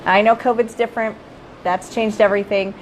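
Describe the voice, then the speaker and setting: A middle-aged woman who directs a child care center, giving casual live-streamed advice. She is hoarse from losing her voice and keeps checking in with viewers as "you guys.". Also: mid-range voice, steady tone, fairly quick, a little hiss or hum, rough recording. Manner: chatty, addresses viewers as "you guys", often tags "right?".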